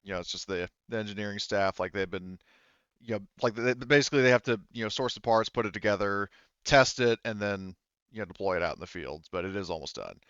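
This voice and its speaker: A man casually running a tabletop roleplaying game as game master, halting and thinking aloud as he goes. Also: fairly low pitch, fairly bright tone, clear, resonant tone, no room sound, highs cut off.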